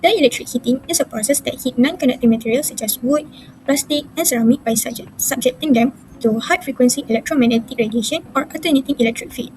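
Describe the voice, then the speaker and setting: A woman's voice: high voice, fast, a little mellow, slight background noise, rough recording. A young woman reading a scripted class presentation as a student.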